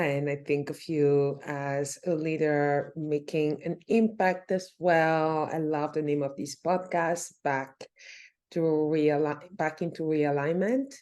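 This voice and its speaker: A woman from Cameroon with a fairly low voice talks through her story on a podcast. She sounds relaxed and reflective, often starting a thought over and adding small fillers as she goes. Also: unhurried. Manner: warm, reflective, many fillers and restarts. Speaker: podcast guest, nonprofit founder. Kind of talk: casual interview conversation.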